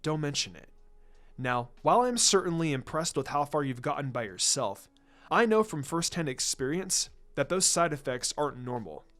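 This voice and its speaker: Man reading as laid-back ninja teacher, low-key. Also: sing-song, normal speed, fairly bright tone. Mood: easygoing, kindly. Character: laid-back adult male ninja teacher, quietly amused, unhurried. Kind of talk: fan fiction story reading.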